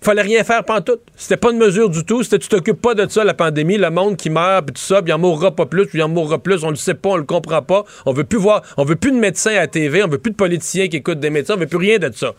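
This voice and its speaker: Middle-aged Québécois man, political commentator, rambling talk, slightly high voice, dry close sound. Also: fast, few pauses, dry recording. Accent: Québécois French. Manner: rambling, animated, restarts mid-sentence. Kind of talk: casual political analysis chat.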